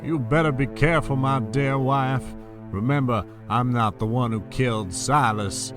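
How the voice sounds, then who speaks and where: An actor playing a scornful, villainous husband who taunts coolly in an audio soap drama. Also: male voice, mid-range voice, measured pace, music in the background, lightly acted. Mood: menacing. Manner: cool, taunting.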